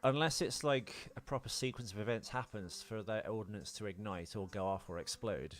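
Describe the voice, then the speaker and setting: A man's voice: on the low side, moderate pace, soft background music. A British man chatting casually as a podcast co-host, working through film ideas in rambling, speculative explanations.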